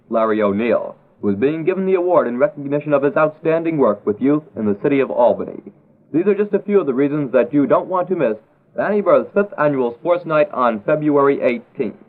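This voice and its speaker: A man reading a scripted local announcement as a vintage radio station announcer. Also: mid-range voice. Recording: tinny vintage radio broadcast.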